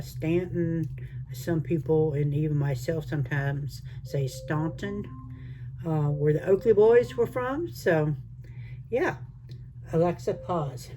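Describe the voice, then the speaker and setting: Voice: mid-range voice, mellow, slight background noise, rough recording. An older, retired speaker with a mixed Southern American accent, chatting casually to viewers while doing a resin craft. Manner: chatty, rambling, talks to viewers as y'all. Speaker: resin crafting channel host. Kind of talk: casual crafting vlog chat.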